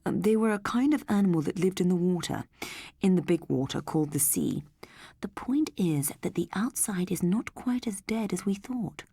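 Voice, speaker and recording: A thoughtful, self-satisfied husband from a futuristic audiobook tale, voiced by a woman narrator. He speaks in a measured, confiding way, low and close in a dry recording. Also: animated, vocal fry, warm tone, husky, no echo, exaggerated voice. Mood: hushed, confiding.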